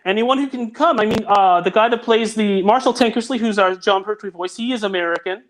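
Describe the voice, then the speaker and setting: A man's voice: high-pitched, a little fast, rough recording. A man who produces audio dramas chats casually on a call, eagerly directing his host through clips. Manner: eager, directive, talks over others. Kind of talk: casual video-call chat.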